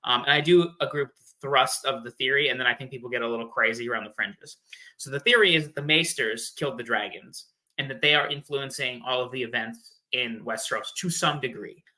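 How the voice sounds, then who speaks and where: Man, very bright sharp voice, mid pitch, casual explainer, conversational podcast guest. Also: brisk, rough recording. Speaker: guest theorist on a fan discussion show. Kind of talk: casual chat explaining fan theories.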